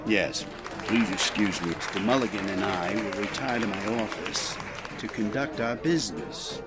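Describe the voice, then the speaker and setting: Actor as commanding, courteous 1920s club boss. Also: male voice, on the low side, normal speed, close to an everyday voice. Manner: formal, courteous, controlled. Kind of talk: 1920s period audio drama dialogue. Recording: music and crowd chatter behind.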